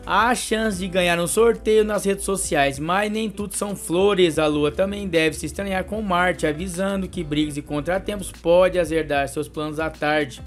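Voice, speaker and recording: A Brazilian man in his thirties reading a daily horoscope and pitching readings, with an affectionate, coaxing manner. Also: normal speed, few pauses, faint music behind. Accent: Brazilian Portuguese. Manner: affectionate, calls listener "meu ser de luz". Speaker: astrologer.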